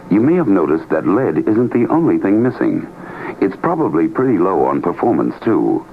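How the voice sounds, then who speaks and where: A man's voice: low-pitched, slight background noise. A man reading a 1970s radio advertisement in a persuasive, explanatory way. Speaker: commercial announcer. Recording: tinny vintage radio recording.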